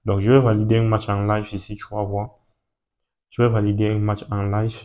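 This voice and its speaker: A man, talking through a screen-recorded walkthrough, repeating himself and pausing as he points things out. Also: on the low side, flat delivery, muffled recording. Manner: repetitive and hesitant, points at things with "ici, là". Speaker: betting tutorial host.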